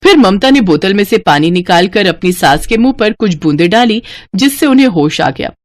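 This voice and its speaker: A woman's voice: medium pitch, lively intonation, normal speed, no echo. A woman storyteller reading a scripted moral story as narrator.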